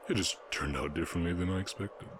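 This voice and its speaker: A man voicing a stern, imposing orc warchief in a fantasy audio roleplay, speaking in deliberate, imperious proclamations. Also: sits low, background noise, lightly acted. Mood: solemn proclaiming.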